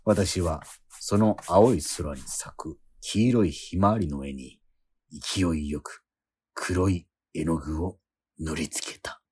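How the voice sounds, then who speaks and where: Struggling painter, radio drama, very deep voice, husky, heavy brooding monologue. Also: male voice, slow pace, a little mellow, full character voice. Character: obsessive, starving male painter, feverish and brooding.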